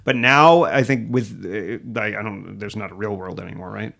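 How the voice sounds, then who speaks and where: A man's voice: on the low side, moderate pace, gravelly, a bit bright. A man co-hosting a casual comedy podcast, riffing loosely and swearing freely as he tells stories. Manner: loose, profane, riffing storyteller. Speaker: podcast co-host.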